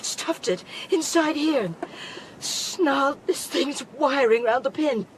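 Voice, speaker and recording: An actress playing a dying young woman in a radio drama, weak and halting but wryly defiant. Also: high voice, animated, slight background noise. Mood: grimly determined. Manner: wry, halting. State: weak, struggling to keep going.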